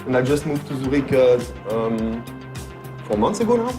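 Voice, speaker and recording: A man in his late thirties from France with a French accent, answering interview questions in a hesitant way, with many pauses and restarts. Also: medium pitch, sing-song, music in the background. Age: 30s. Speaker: guest DJ and producer. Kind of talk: casual interview.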